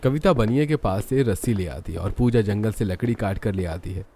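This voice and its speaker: A man's voice: lowish voice, moderate pace. A man reading scripted narration for a cartoon story.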